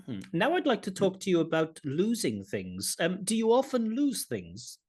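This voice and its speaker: Husky man with a lively voice asking questions. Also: high voice, normal speed. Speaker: IELTS examiner and channel host. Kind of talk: formal exam questioning. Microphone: video call.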